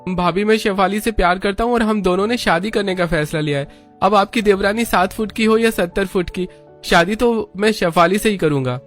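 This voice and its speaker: Casting: male actor as stubborn young lover in a family cartoon, fairly high voice, emphatic and pleading, music underneath. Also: moderate pace, a bit bright. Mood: determined. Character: young man in love, stubborn, eager younger brother-in-law. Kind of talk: Hindi family cartoon dubbing.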